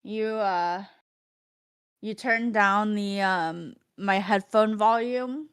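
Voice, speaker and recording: A young woman from Connecticut chats and rambles through a story, laughing between lines. Her voice sits at an ordinary middle pitch. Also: extremely slow, fairly bright tone, smooth. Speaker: streamer. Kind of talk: casual chat while gaming.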